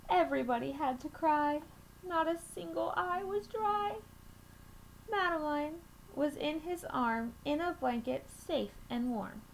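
Young female storytime reader, high voice, deliberate expressive delivery, slightly noisy webcam recording. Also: vocal fry. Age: young adult. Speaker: children's storytime reader at a school. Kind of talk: reading a picture book aloud to children. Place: small room at home, indoors.

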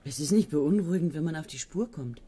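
An actress playing a withdrawn young woman student in a German radio play, speaking low and often in a whisper. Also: animated, brisk, warm tone, husky. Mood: dreamy. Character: young woman student, brooding, dreamy farmer's daughter.

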